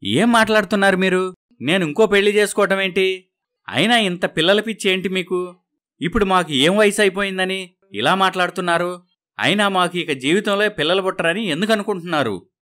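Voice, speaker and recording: A man's voice: high-pitched, fairly quick, bright, forceful tone. A man playing an upset, protective young husband in a moral story. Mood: indignant. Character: upset young husband defending his wife.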